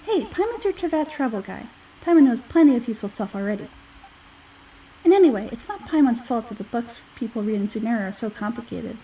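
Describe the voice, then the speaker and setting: A woman voicing a small, childlike, excitable fairy guide from a video game, whiny and exclamatory, speaking of herself in the third person. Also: a little high, a little fast, far from the mic, a little hiss or hum, low-quality recording. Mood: indignant.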